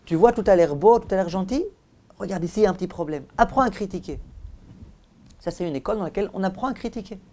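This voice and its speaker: A man's voice: high-pitched, quick pace, a little mellow. A man speaking as a rabbi giving a religious lesson, addressing listeners directly in a didactic, emphatic way and repeating key phrases.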